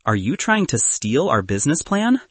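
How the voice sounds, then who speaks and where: Audiobook role: young male student entrepreneur acted by a man. Earnest, slightly defensive, animated, husky. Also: mid-range voice, moderate pace, dry recording, voice pushed up. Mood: wary, curious.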